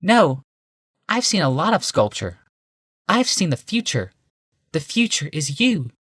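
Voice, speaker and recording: A male narrator performs a passionate woman visionary from a fantasy audiobook. The voice is raised to a feminine register and moves in lively swoops. It is dry in sound, with urgent, yearning intensity. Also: a little high, measured pace, breathy edge, no room sound, voice pushed up, exaggerated voice. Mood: urgent, pleading.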